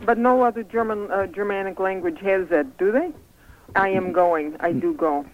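A woman's voice: medium pitch, normal speed. A woman calling in to a radio show, curiously asking a question about something she once read. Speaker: caller. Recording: tinny phone line on air.

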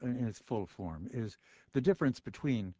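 A man hosting a radio call-in show, jocular and quick with asides. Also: mid-range voice, lively intonation, dark tone, no echo. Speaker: radio talk show host. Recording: slightly muffled radio broadcast.

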